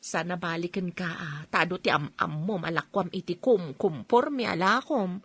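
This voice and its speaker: A woman's voice: fairly low pitch, normal speed, fairly bright tone, slightly rough, no echo. A woman with an Ilocano accent playing a frank, teasing shopkeeper in a radio drama.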